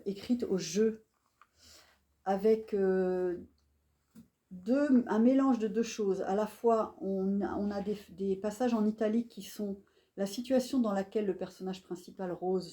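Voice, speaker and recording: A woman speaks to an audience at a live bookshop event and introduces a novel with plain enthusiasm and admiration. Her voice has a soft, mellow, darker tone and sits at a medium pitch. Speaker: bookseller hosting an author talk.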